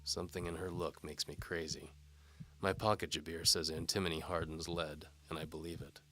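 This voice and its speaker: A man's voice: extremely low pitch, little pitch movement, moderate pace, bright, forceful tone. A man reading dramatic first-person noir narration in a hard-boiled, wry manner.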